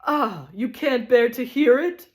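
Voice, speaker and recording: A woman's voice: fairly high pitch, measured pace, voice pushed up, close to an everyday voice. A woman voicing a pompous, self-pitying male ghost who acts like a stage tragedian, declaiming bitterly.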